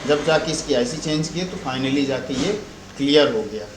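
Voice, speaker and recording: A man's voice: mid-range voice, moderate pace. Indian man explaining in an echoey room. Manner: explanatory, repeats and rephrases points. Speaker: phone repair technician giving a tutorial. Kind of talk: informal spoken repair walkthrough. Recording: roomy echo, faint background noise.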